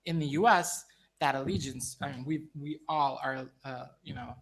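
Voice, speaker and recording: A man's voice: mid-range voice, moderate pace, breathy edge. Earnest man speaking on a panel. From United States. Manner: earnest, repeats words, many fillers. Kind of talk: panel discussion remarks.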